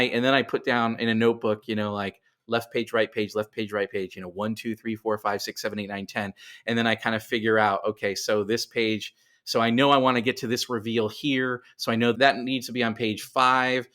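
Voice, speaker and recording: A man's voice: mid-range voice, fairly even intonation, fairly quick, a bit bright, smooth. A middle-aged man talking casually as a writer being interviewed, animatedly explaining things and often repeating words as he goes. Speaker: writer and podcast guest.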